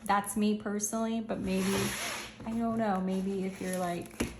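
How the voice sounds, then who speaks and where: Upbeat, slangy woman host, fraying hoarse voice, steady flat delivery. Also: mid-range voice, a little slow, reverberant, background noise. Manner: chatty, upbeat, slangy, thinks aloud. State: losing her voice, slightly hoarse. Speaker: channel host reviewing planners. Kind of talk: casual product review walkthrough.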